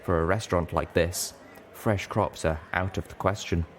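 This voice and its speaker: A man's voice: low-pitched, steady tone, normal speed. A man reading scripted first-person fiction as an audiobook narrator. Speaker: audiobook reader.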